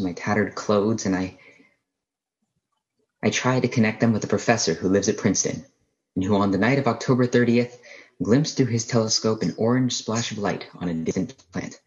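An actor playing a haunted astronomy professor, lone survivor of an alien invasion, narrating a radio play in a halting, brooding, literary way. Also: male voice, fairly low pitch, fairly even intonation, lo-fi sound, lightly acted. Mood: anguished, lost. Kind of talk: dramatic first-person radio play narration.

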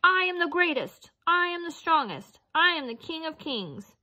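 Woman acting out storybook lion, male, boastful, proud, declaiming, raised high pitch. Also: sing-song, normal speed, sharp tone, muffled recording, heavily acted.

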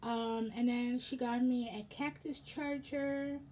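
A young American woman chatting casually for a haul vlog in a rambling, halting way, with a slightly raspy voice. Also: high voice, fairly even intonation, a little mellow, a little hiss or hum, low-quality recording. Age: young adult. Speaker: vlogger. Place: indoors in a home room.

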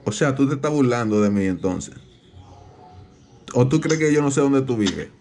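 A man's voice: mid-range voice, lively intonation, normal speed, a little mellow, soft background music, lightly acted. A man with a Dominican accent playing an aggrieved, protective father who claims to be a police captain on a prank call, insistent and repetitive. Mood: indignant. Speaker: prank caller. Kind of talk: improvised prank phone call.

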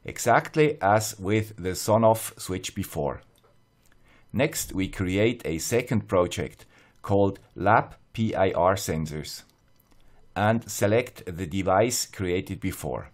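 A man with a fairly low voice and a Swiss accent narrates a scripted tutorial. He explains things step by step in a measured, plain-spoken manner. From Switzerland. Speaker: host of an electronics tutorial channel.

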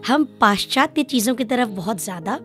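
A woman's voice: a little high, lively intonation, a little fast, fairly bright tone. Young woman radio interviewer with music behind. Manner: polite, deferential. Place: small acoustically treated radio studio. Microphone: close studio microphone on a desk stand.

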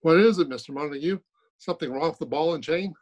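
A man's voice: fairly high pitch, quick pace, natural voice. An older man playing a blunt, matter-of-fact male colleague in an old-time radio comedy. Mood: deadpan grumbling. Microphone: phone on video call.

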